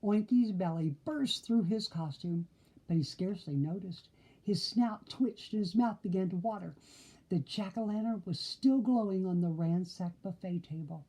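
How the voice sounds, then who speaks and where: A woman's voice: mid-range voice, normal speed, dark tone, poor audio. An older woman reading a children's story aloud expressively, with theatrical, playful emphasis. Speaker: storybook reader.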